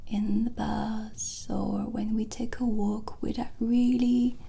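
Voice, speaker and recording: A woman whispering gently and soothingly in a breathy ASMR roleplay style, close to the microphone. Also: a little high, monotone, takes it slowly. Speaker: ASMR host playing a witch sound healer. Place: small candlelit indoor studio set.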